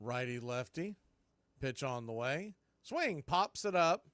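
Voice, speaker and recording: A man's voice: mid-range voice, animated, slow, fairly bright tone, no echo. A man doing live baseball play-by-play as a stream host, mixing clipped calls with folksy, joking asides and casual chat.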